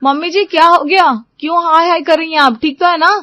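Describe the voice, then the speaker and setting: A woman's voice: very high voice, steady tone, a little fast, bright, forceful tone, dry recording, voice pushed up. A woman voicing a strict, fed-up young daughter-in-law, firm and lecturing, in a family story. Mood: concerned.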